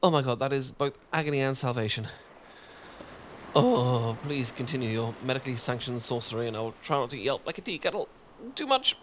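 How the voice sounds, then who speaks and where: A man's voice: mid-range voice, lively intonation, a little fast. British man, awkward stammering roleplay, nervous laughs, faint background hiss. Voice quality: frequent nervous laughs and sighs. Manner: flustered, stammering, self-deprecating. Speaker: ASMR roleplay performer. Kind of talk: ASMR roleplay.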